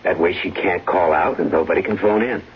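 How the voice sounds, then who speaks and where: An actor playing a cold, scheming husband in a radio drama, controlled and menacing in short clipped phrases. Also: male voice, sits low, brisk, breathy edge. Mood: cold, commanding. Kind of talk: old-time radio drama acting. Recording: dull old radio recording, humming noise.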